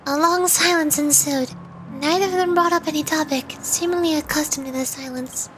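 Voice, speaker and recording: A woman's voice: high voice, steady tone, moderate pace, a bit bright, slight background noise. A woman reading scripted fantasy game narration as a solemn storyteller. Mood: solemn, dramatic.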